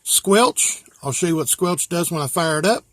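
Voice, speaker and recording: A man's voice: fairly high pitch, normal speed, a bit bright, no room sound. An American man giving a casual hands-on walkthrough as a hobbyist, explaining things step by step in a plain-spoken, folksy way. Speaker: hobbyist presenter.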